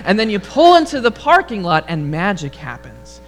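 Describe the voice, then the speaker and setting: A man with a high, rather feminine-sounding voice, preaching a relaxed, humorous sermon and leading prayer before a congregation. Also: normal speed, soft background music. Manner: relaxed, storytelling delivery with humor. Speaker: pastor. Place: church sanctuary before a congregation.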